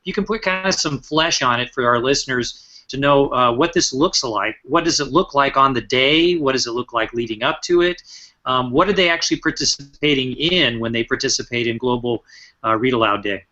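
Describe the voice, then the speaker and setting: Bright-voiced male interviewer, lo-fi sound. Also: medium pitch, fairly quick. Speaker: interviewer, show host. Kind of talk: casual interview questioning.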